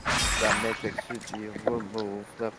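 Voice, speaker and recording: A man's voice: fairly low pitch, little pitch movement, measured pace, heavy background noise. A man chatting casually with friends during a game, griping and swearing offhandedly. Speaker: gaming friend. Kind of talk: casual gameplay chat.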